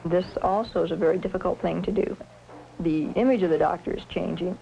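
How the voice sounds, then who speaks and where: A woman surgeon speaking in a measured, articulate way in a reflective documentary interview. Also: lowish voice, vocal fry, warm tone, faint music behind, low-quality recording. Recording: 1970s film sound with faint noise.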